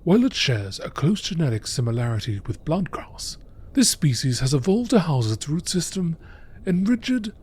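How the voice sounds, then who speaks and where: A man reads scripted nature documentary narration in a measured, articulate way. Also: on the high side, sing-song, darkish tone, breathy edge.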